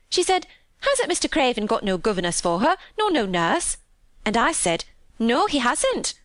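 A woman's voice: a little high, no room sound, voice pushed up. Woman playing an eager, chatty young Yorkshire housemaid. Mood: chatty, earnest. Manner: eager, rambling, folksy dialect storytelling. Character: cheerful, chatty young Yorkshire housemaid, warm-hearted and excitable.

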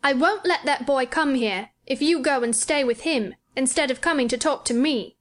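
A woman's voice: high voice, moderate pace, voice pushed up, natural voice. A woman voicing a spoilt, sickly, self-pitying young boy, petulant and imperious, in a storybook reading.

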